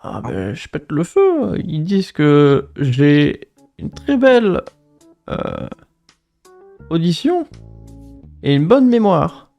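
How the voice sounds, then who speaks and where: A man doing a frail old grandmother, her voice raised and gravelly, with a soft, dark tone. She speaks slowly and hesitantly and loses her thread. Faint music plays underneath. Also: fairly high pitch, huge pitch swings, dull audio, heavily acted. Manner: slow, hesitant, forgetful. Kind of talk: tabletop role-play.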